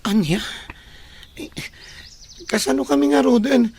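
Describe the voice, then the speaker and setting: A woman's voice: high voice, unhurried, a little mellow, husky, heavily acted. A woman playing a worried, responsible young boy, the older brother, in a radio drama. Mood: upset, worried.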